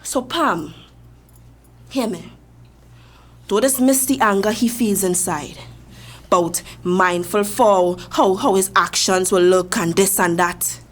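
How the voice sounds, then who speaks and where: A woman's voice: medium pitch, takes it slowly, long pauses. Emphatic Trinidadian woman storyteller. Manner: emphatic, preaching, asks for agreement. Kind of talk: acted monologue.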